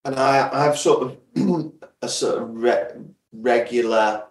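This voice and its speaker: A man of average pitch chats casually as a podcast guest, often halting as he searches for words. He sounds roomy and echoey, set back from the microphone. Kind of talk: casual chat. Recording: echoey room, distant from mic.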